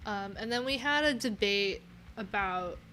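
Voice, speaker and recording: Slow-talking bright-voiced woman. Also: medium pitch, slight background noise. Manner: rambling, candid, heavy on filler words. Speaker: livestream coding host. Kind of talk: casual live-stream chat.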